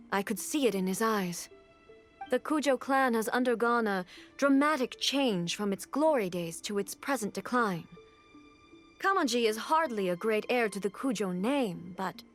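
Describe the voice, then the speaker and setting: A woman voicing a composed, regal young female ruler in a video game, speaking formally and with command. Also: on the high side. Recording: game audio with soft music.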